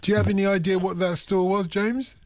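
A man hosting a late-night radio phone-in, teasing his callers and his guest with a jokey, quick-talking manner. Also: sits high, little pitch movement, darkish tone, slight background noise. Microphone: studio microphone.

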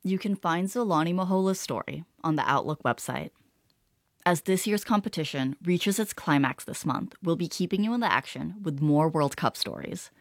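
A woman radio presenter reading a scripted programme outro smoothly. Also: on the low side, lively intonation, normal speed, dry recording, clean studio sound. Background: music bed.

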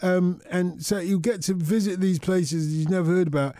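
A man's voice: high voice, steady tone, normal speed, dark tone, dry recording, clean studio sound. A man hosting a radio phone-in, chatting casually and thinking aloud with restarts. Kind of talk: casual radio chat with callers.

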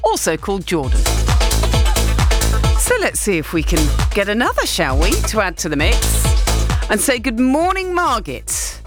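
Lively woman radio presenter. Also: mid-range voice, normal speed, loud music behind the voice. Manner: bubbly, chatty, lively. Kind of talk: local radio phone-in chat.

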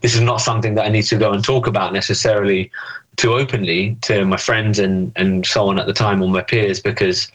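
A young man speaks in a low voice, pausing on fillers and restarts as he reflects. He often poses questions to himself and answers them. It sounds like a relaxed, conversational interview. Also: poor audio. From England. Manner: thoughtful, many fillers and restarts, asks himself rhetorical questions. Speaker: interview guest.

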